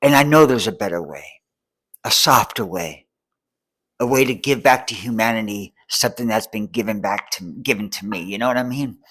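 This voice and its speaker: A man's voice: fairly low pitch, normal speed, fairly bright tone. A man in his forties speaking earnestly and with determination in a rambling vlog monologue, restarting phrases and often asking if the listener follows. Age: 40s. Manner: rambling and repetitive, many restarts. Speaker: vlogger and homelessness advocate. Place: Seattle.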